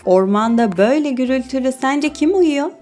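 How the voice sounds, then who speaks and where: A woman's voice: high voice, lively intonation, normal speed, a little mellow, soft background music, voice pushed down. A woman playing a tender animal mother in a children's cartoon, soothing and encouraging.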